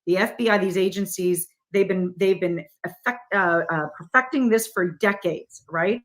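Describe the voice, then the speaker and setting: A woman's voice: mid-range voice, normal speed, faint music behind. A middle-aged woman, a guest in an interview, speaking emphatically and with rhetorical questions aimed straight at the listener. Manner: insistent, rhetorical questions, direct address to viewers. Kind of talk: emphatic political interview talk.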